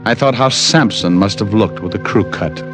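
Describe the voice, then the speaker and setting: A man's voice: lowish voice, moderate pace, natural voice. An actor playing a dry-witted male private detective who also narrates, in a 1950s radio drama. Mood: narrating. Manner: dry, wisecracking, laconic. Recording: old radio broadcast with faint music.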